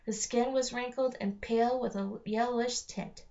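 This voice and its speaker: A woman's voice: fairly high pitch, fairly even intonation, moderate pace, warm tone. A woman narrating a children's story aloud, reading from the text with an occasional stumble. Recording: dull lo-fi home recording.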